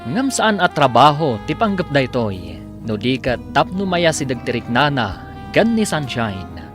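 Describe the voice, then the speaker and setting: A man's voice: mid-range voice, sing-song, a little slow. A man narrating a radio drama as announcer, with dramatic scripted delivery. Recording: music bed under the voice. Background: prominent music.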